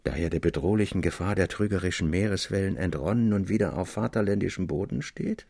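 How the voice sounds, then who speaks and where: Man acting a soft, gentle tavern girl. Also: deep voice, fast talker, gravelly, slightly rough, no room sound, studio quality, heavily acted. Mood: coaxing. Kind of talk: audiobook character acting.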